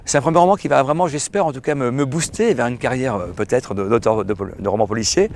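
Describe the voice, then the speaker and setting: A hoarse man, a crime novelist, answering interview questions casually with many fillers and restarts. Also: medium pitch, fast, darkish tone, a little hiss or hum. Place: outdoor festival.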